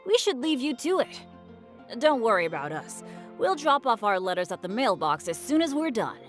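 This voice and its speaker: A woman's voice: fairly high pitch, big pitch swings, moderate pace, faint music behind. A woman voicing a friendly, earnest teenage boy adventurer in a video game, with a boyish, humble manner. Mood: upbeat. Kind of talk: video game cutscene acting.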